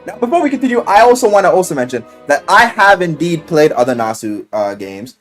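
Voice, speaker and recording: A man telling a story casually in slang and swearing, as an animated video game reviewer who acts out what people say. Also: on the high side, brisk, faint music behind. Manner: animated storyteller, acting out quoted lines.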